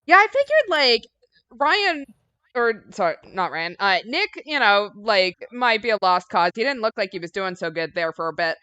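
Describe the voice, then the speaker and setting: A woman's voice: medium pitch, very animated, normal speed, bright, forceful tone, clean tone, no echo. Easygoing woman recapping a game. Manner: easygoing, wry, recaps the plot. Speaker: let's play co-host. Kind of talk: casual gaming chat and story recap.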